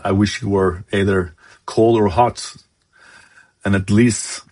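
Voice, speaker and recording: A man from Norway with a Norwegian accent, giving a devotional sermon in English, his second language, pausing often to search for words. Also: on the low side. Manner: halting, searching for words, many fillers. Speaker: lay preacher.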